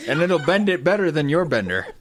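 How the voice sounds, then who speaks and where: Man playing a blustering, animated old-west cowboy. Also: fairly high pitch, brisk, a little hiss or hum. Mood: defiant boasting. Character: boastful, folksy old-west cowboy tool owner in a rivalry. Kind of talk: improvised comedy radio skit.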